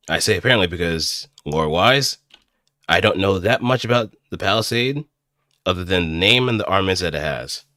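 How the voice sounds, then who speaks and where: A low, deep male voice with a very bright, forceful edge, recorded close and dry. He talks like a casual streamer, working out his thoughts aloud and making things up as he goes. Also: no room sound. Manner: hesitant, thinking aloud, improvising as he goes. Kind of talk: casual rambling livestream commentary.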